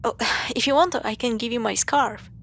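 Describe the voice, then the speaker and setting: A woman playing a caring, playful young girlfriend in a spooky audio roleplay, talking straight to her partner in halting conversational phrases with sighs. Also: fairly high pitch, creaky, fairly bright tone, a little hiss or hum. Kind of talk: spoken roleplay to the listener.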